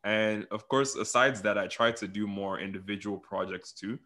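Bright, low-pitched young man. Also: little pitch movement, normal speed. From Nigeria. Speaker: interview guest, actor. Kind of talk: casual conversational storytelling.

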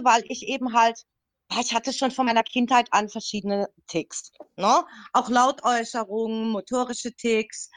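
A woman in her early fifties speaks as a podcast guest in a slightly high voice. She tells her own experience casually and explains it step by step. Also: fairly bright tone. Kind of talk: casual personal account.

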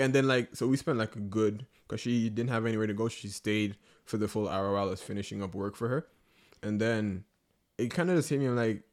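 A man hosting a casual podcast chat with friends, telling stories with acted-out dialogue and frequent laughter. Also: on the low side. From not Nigeria. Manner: animated storyteller, acts out dialogue, laughs often. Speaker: podcast host.

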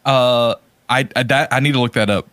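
A man's voice: mid-range voice, steady tone, normal speed, a bit bright, no room sound. A man chatting casually about video games as a podcast co-host, in a hesitant, laid-back way.

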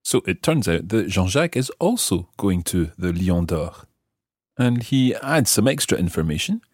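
A man hosting a scripted language lesson, explaining clearly and repeating phrases slowly and deliberately. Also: low voice, big pitch swings, no room sound, studio quality. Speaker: language teacher and host.

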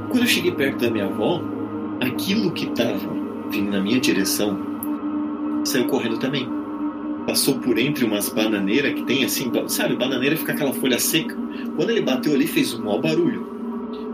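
A man's voice: mid-range voice, rough recording. A Brazilian man telling a personal story in a casual, colloquial way, as a podcast guest. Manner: colloquial, acts out his own quoted reactions. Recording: music playing behind the voice. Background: music.

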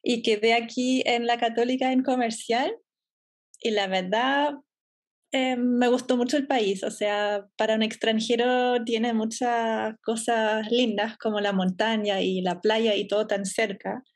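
A German woman in her thirties, guest on a podcast interview, talking about her business in a relaxed way and laughing easily. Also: on the high side, measured pace. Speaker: entrepreneur guest.

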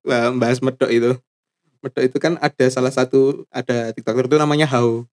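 A man's voice: mid-range voice, fairly even intonation, fairly quick, darkish tone. A man co-hosting a casual chat podcast, telling stories playfully and laughing often, from Java. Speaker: podcast co-host. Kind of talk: casual banter.

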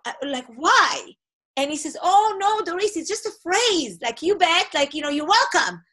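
High, animated Hebrew-native woman host. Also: normal speed. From Israel. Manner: animated, expressive storyteller. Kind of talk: conversational interview.

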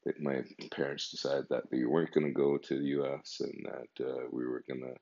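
Very deep gravelly man in his fifties. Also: level tone, fairly quick, warm tone. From Canada. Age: 50s. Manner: meandering, conversational. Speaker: podcast host. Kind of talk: casual podcast monologue. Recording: dull lo-fi recording.

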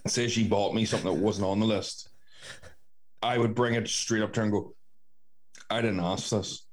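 Low-voiced Northern Irish man chatting. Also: fairly even intonation, moderate pace. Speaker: podcast host. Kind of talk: casual chat, telling childhood anecdotes.

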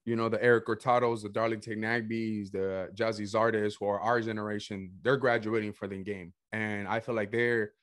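A young man from El Salvador hosting a casual podcast chat, energetic and saying "bro" a lot. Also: fairly low pitch, moderate pace, few pauses, no echo, studio quality. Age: young adult. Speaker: podcast host.

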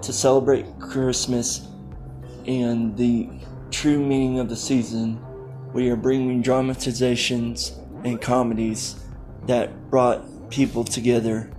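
Casual male podcast host. Slow, halting delivery, medium pitch, faint music underneath. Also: dark tone.

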